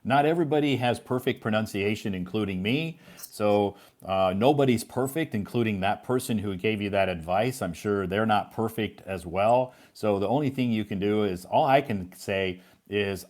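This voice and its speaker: A man's voice: on the low side, animated, moderate pace, few pauses, a little mellow. A man hosting a live English chat as a teacher, reassuring and encouraging. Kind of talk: casual chat.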